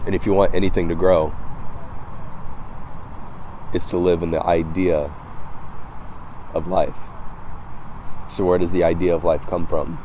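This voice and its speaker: Male vlogger, very low relaxed voice, a touch hoarse. Reflective, halting, casual musing, recorded outdoors. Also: slight background noise, low-quality recording. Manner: halting, reflective, repeating words. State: slightly hoarse. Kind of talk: casual philosophical monologue to camera. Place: outdoors by the water.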